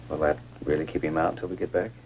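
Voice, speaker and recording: A man's voice: extremely low pitch, little pitch movement, very fast, darkish tone, poor audio. An American actor playing a stern, pragmatic man who takes command, in 1950s science fiction film style. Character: stern, pragmatic man leading a monster hunt. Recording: hissy, humming 1950s film soundtrack.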